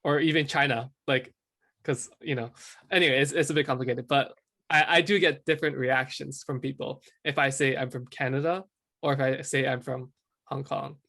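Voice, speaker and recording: A man who is a guest in a casual discussion, speaking hesitantly and softly. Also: medium pitch, fairly even intonation, moderate pace, gravelly.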